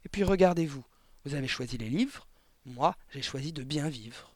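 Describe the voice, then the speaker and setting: A man's voice: mid-range voice, expressive, moderate pace, a little mellow, no room sound, lightly acted. A man voicing a vulgar, irritable housewife in a storybook, with snappy, disdainful, slangy delivery. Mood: smug.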